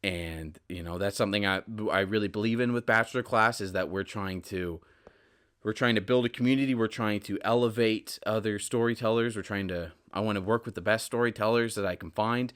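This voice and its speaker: A man's voice: on the low side, a little fast, a bit bright, clean tone. A man hosting a podcast, speaking casually and reflectively in an earnest, motivational way with emphatic repetition. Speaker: podcast host.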